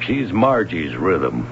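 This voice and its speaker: A man's voice: sits low, gravelly, exaggerated voice. A man in a radio drama playing a genial, obliging fellow with an easygoing manner, on an old broadcast recording. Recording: muffled radio broadcast, faint hum.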